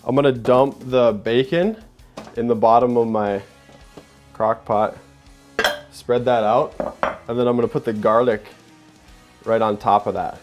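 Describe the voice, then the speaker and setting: A man's voice: fairly even intonation, a little slow, long pauses, music in the background. A young man hosting a casual cooking demo in a home kitchen, chatty and upbeat, narrating each step as he works. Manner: upbeat, chatty, says "boom" while working. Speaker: cooking show host.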